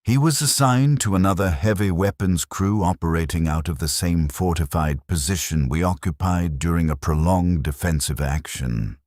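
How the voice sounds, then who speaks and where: Very deep-voiced man narrating a story. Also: moderate pace, few pauses, a little mellow, no echo, studio quality. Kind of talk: scripted first-person story narration.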